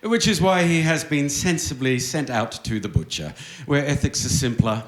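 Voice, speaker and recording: A man's voice: mid-range voice, expressive, normal speed. A man narrating a live radio play in a wry, mock-grand, old-time wireless announcer style. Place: theatre stage with audience. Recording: roomy live theatre stage.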